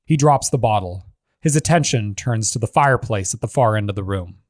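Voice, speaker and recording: A man's voice: lowish voice, animated, brisk, dry recording. Man narrating screenplay directions, dry close sound.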